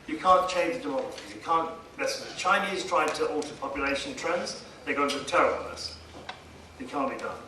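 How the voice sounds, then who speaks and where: A man gives a formal conference speech in a very echoey hall, picked up at a distance from the microphone. His voice is bright and forceful, and he speaks emphatically, posing rhetorical questions and pausing for effect. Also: fairly high pitch, expressive. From India. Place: conference hall, addressing a seated audience. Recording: echoey hall, distant mic, faint noise.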